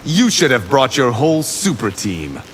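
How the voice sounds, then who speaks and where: A man's voice: medium pitch, big pitch swings, normal speed, fairly bright tone, a little hiss or hum. An actor playing a menacing video game fighter who taunts his opponent. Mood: taunting. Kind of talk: video game character dialogue.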